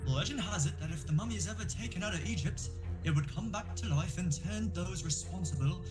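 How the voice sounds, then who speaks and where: A man's voice: high voice, fairly quick, close to an everyday voice. Guy doing stuffy british cartoon professor, theatrical explainer, music under it. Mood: grave, theatrical. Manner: theatrical, formal, expository. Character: formal, ominous British museum professor from a cartoon mystery. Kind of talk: cartoon-parody audio drama acting. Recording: music bed behind the voice.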